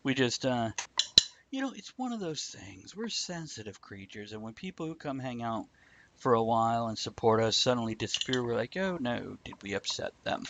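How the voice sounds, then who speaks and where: A man's voice: mid-range voice, lively intonation, normal speed, creaky, noisy background. A man co-hosting a livestream, chatting casually in a joking, bantering way. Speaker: livestream co-host. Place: indoors, live stream.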